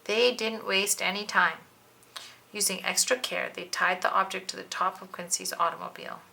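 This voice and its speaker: A woman's voice: mid-range voice, fairly even intonation, normal speed, gravelly, sharp tone. A woman reading a children's storybook aloud, narrating expressively and dramatically. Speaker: children's book reader.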